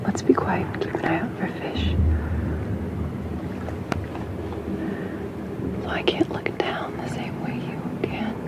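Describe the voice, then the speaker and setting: A woman playing a playful, sassy young companion to a captive merperson in an ASMR bedtime roleplay, often whispering in a breathy, affectionate way. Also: medium pitch, close to an everyday voice. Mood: quiet, watchful. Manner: snarky, affectionate, hushed, chatty and halting.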